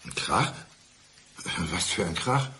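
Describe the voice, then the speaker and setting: An actor playing a calm, embittered, menacing ex-husband in a radio crime play, speaking with measured, mock-gentle politeness. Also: male voice, deep voice, a little hiss or hum, exaggerated voice. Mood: musing. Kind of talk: 1990s German radio crime play acting.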